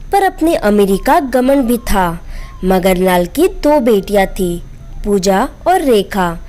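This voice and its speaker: A woman's voice: medium pitch, a little mellow. A woman storyteller reading a scripted moral bedtime story as narrator.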